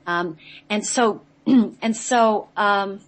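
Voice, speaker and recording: A woman guest on a radio show explaining spiritual ideas conversationally, in an earnest, halting way and sometimes spelling out words. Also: mid-range voice, poor audio.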